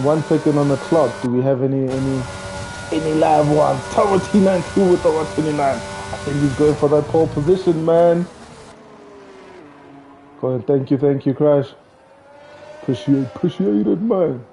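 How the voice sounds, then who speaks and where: A man's voice: fairly high pitch, background noise. Man calling a sim race live. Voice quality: says he has a loud voice. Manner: excitable, chatty, talks to chat viewers. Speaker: sim racing commentator. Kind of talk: live stream race commentary. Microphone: home streaming mic.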